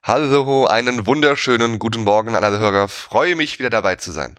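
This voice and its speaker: An upbeat man joins a casual podcast over a call. He talks fast in short, cheerful bursts. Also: medium pitch, expressive, a bit bright. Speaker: podcast co-host. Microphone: remote call.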